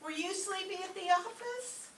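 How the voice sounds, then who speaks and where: A woman's voice: very high voice, moderate pace. A woman playing a naive, drowsy, dim-witted young secretary in an old-time radio comedy. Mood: naive, earnest. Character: ditzy, sleepy young female secretary, naive and earnest. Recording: roomy, distant stage recording with faint noise.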